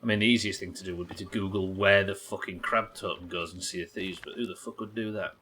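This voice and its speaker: A man's voice: low voice, fairly even intonation, fast talker, sharp tone, a little hiss or hum. A man doing casual livestream game commentary, thinking aloud, questioning himself and swearing now and then. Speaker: live game streamer.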